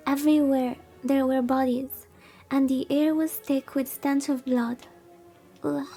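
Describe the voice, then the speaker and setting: A woman's voice: high-pitched, warm tone. A woman VTuber reading a visual novel aloud in a soothing way and adding casual commentary. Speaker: VTuber streamer. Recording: background music. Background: music.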